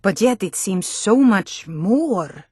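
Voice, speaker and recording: A woman's voice: medium pitch, expressive, slow pace, a little mellow, breathy edge, no room sound, studio quality. A woman voicing a tough, blunt female Nord warrior guard in a fantasy video game, stern, taunting and combative. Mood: gruff, watchful.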